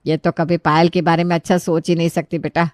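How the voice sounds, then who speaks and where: Woman acting a scolding older mother-in-law, lowered voice. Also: flat delivery, brisk, no echo, studio quality, close to an everyday voice. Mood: resentful. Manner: scolding, accusing, taunting. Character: sharp-tongued, suspicious mother-in-law, older woman, protective of daughter.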